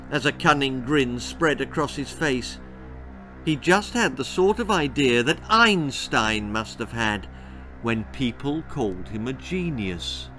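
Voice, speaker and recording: A man's voice: fairly high pitch, pushed and bright. A British man in his sixties reading a children's story aloud in a dramatic storytelling style. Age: 60s. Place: small book-lined room indoors.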